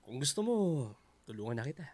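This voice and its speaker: A man's voice: mid-range voice, very animated, quick pace. A man acting a helpful, modest, easygoing houseguest in a Filipino radio drama. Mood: soft, helpful.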